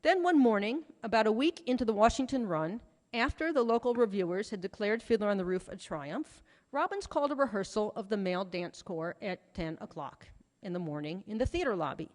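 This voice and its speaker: A woman's voice: mid-range voice, lively intonation, brisk. A woman giving a prepared lecture to an audience, with an articulate, storytelling delivery and emphatic phrasing. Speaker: lecturer, author presenting research. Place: large hall at a conference. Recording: reverberant hall, music playing behind. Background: music.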